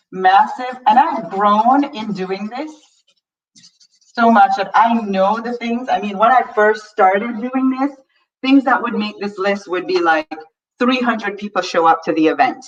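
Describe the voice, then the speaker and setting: Female live-stream coach, mid-pitched, earnest and chatty, slightly distant muffled mic. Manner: earnest, reads viewer comments aloud, frequent "right?". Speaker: money coaching host. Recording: distant mic, dull lo-fi sound.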